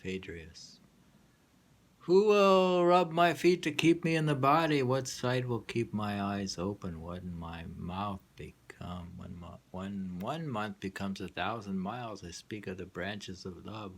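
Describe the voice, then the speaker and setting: A man reading his own poems aloud in a halting, musing way, with muttered asides. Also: lowish voice, animated. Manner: halting, musing, hums to himself between lines.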